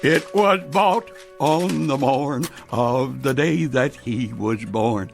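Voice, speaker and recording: A man's voice: medium pitch, slow, vocal fry, faint music behind. An actor playing an elderly, absent-minded clockmaker grandfather in a radio drama, fussy and muttering with hesitant starts. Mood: wistful singing.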